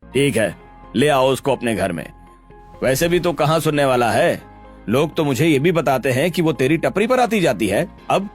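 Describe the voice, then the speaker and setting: A man's voice: mid-range voice, big pitch swings, normal speed, soft background music, natural voice. A man voicing an older Indian father, stern and dismissive but resigned, in cartoon story dialogue. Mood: grudging.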